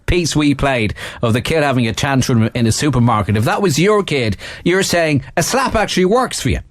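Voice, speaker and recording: A man's voice: mid-range voice, expressive, brisk. A man hosting a live radio phone-in from a studio, on a noisy recording.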